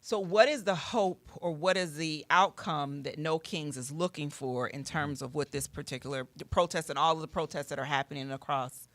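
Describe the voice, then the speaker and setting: A woman's voice: low-pitched, normal speed, few pauses, fairly bright tone, no room sound. A woman co-hosting a radio interview, carefully asking clarifying questions off the cuff. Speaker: radio show co-host.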